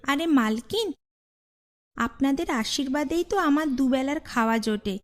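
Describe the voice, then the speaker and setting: A woman's voice: sits high, lively intonation, measured pace, voice pushed up. A woman voicing a sly witch disguised as a sweet, servile vegetable seller, coaxing and deferential, in a cartoon tale.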